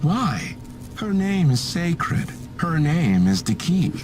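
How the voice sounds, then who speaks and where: A man playing a serenely unhinged cult prisoner in a video game, preaching slowly with rapturous reverence. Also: on the high side, big pitch swings, noisy background. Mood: reverent fervor. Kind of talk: dramatic video game acting. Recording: music under the voice.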